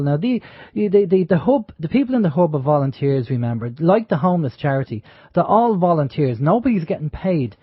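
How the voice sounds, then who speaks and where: A man's voice: fairly high pitch, dry recording. Rambling Irish man hosting talk radio. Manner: rambling, many fillers and restarts. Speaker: radio show host. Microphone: studio microphone. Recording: dull, lo-fi internet radio audio.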